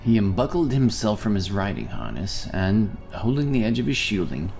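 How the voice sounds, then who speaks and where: A man's voice: fairly low pitch, big pitch swings, moderate pace, gravelly, a little mellow. A man reading scripted narration for a fantasy audio story. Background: background music.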